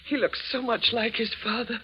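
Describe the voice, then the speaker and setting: A woman's voice: on the high side, little pitch movement, normal speed, breathy edge. A woman playing an anxious, frightened middle-aged mother in melodramatic 1940s radio thriller style. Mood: shaken. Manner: melodramatic, pleading. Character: anxious, frightened widow, mother of a grown son. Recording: dull old 1940s radio recording.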